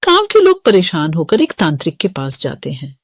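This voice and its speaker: A woman's voice: on the low side, wildly swooping pitch, moderate pace, a little hiss or hum. A woman narrating a scripted children's story.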